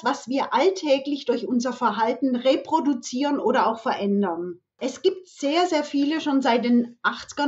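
A female academic with a fairly high voice explains things in an interview. She is didactic, building points through examples and rhetorical questions. The recording sounds a little dull. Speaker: professor, expert guest.

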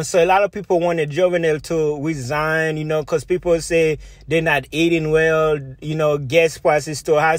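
Haitian-born guy vlogging casually, kinda high voice, rambles and repeats himself, very dry sound. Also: no room sound. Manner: rambling, repetitive, filler-heavy. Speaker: video blogger. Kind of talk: informal spoken commentary.

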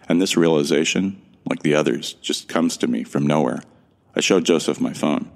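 Really deep gravelly guy narrating his own audio drama, earnest, kinda bewildered. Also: moderate pace. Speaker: narrator and lead actor.

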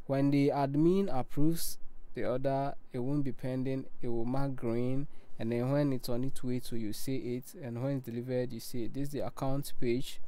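A young man narrating a screen-recorded walkthrough of his own app, with a halting, unhurried delivery and many pauses. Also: mid-range voice, dark tone, smooth. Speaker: app developer walking through his own app. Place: voice-over recorded separately from the screen capture.

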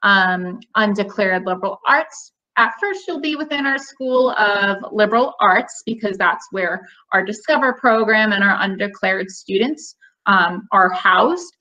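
A woman's voice: mid-range voice, a little slow, pushed and bright, muffled recording. A young woman from a college admissions office answering webinar questions in a friendly, explanatory way with many fillers, over a video call. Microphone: video call webcam.

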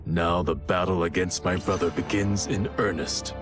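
An actor voicing a stern, honor-bound ninja clan founder, narrating gravely and resolutely for a video game. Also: male voice, sits low, steady tone, moderate pace, natural voice. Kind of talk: solemn scripted game narration. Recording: studio voice over music.

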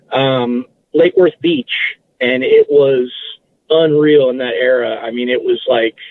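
A man's voice: mid-range voice, dry recording. A man from Massachusetts, a guest telling stories in a casual interview, hesitant, with many restarts. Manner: hesitant, lots of fillers and restarts. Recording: tinny phone line.

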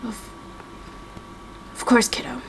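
Woman acting reassuring father, game roleplay, gentle, worried, unhurried, faint noise. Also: lightly acted, low-quality recording. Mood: gentle, concerned.

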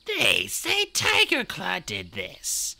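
A man voicing an anguished, aging female cat clan leader in a storybook reading, with a raspy, breathy, hissing delivery. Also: high voice, huge pitch swings, sharp tone, pitched above the performer's own voice, full character voice. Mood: shocked, fearful. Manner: slow, hissing, muttering delivery.

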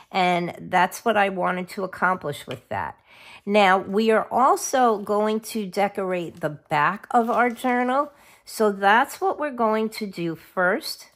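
A middle-aged woman with a scratchy voice from a cold, chatting casually while she shows a craft project. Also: medium pitch. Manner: chatty, self-interrupting, forgets names of supplies. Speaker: craft video host.

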